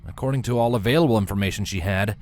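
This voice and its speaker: A man narrating a scripted story in a dramatic, expressive storytelling manner. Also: lowish voice, racing.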